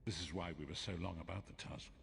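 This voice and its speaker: An actor playing a solemn, wise older prophet in a fantasy video game, speaking in a formal, measured, portentous way. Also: male voice, low-pitched, fairly even intonation, creaky, a bit bright, heavily acted. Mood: grave, solemn. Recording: long reverb, some background noise.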